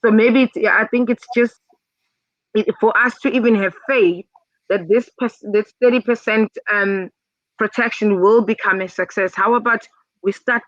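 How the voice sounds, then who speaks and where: A woman's voice: mid-range voice, steady tone, normal speed, fairly bright tone, no echo. Woman on a muffled dry video call. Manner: hesitant, many fillers and repeats. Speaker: summit participant. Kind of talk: casual online discussion.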